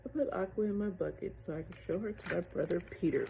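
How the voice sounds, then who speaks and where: A woman narrating a children's picture book aloud in an expressive storytelling style. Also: on the low side, quick pace, gravelly. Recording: noticeable background noise.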